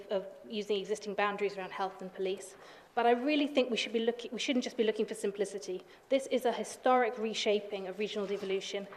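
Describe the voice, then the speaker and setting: A woman councillor making a measured, argumentative case in a council debate, with a few restarts. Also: mid-range voice. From England. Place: council chamber. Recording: chamber with long reverb.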